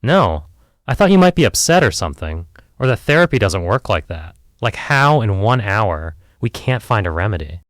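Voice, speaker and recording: A man's voice: fairly low pitch, big pitch swings, moderate pace, dry recording, studio quality. A man playing a candid, self-doubting filmmaker in therapy in an audio play, hesitant, rambling and wry. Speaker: therapy client. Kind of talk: conversational audio play acting.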